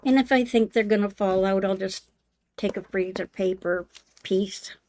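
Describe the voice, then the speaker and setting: An older woman hosting a live sewing demonstration, talking in a homey, unhurried way with many ums, her voice slightly hoarse. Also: mid-range voice, darkish tone, no echo. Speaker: sewing channel host. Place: home sewing room.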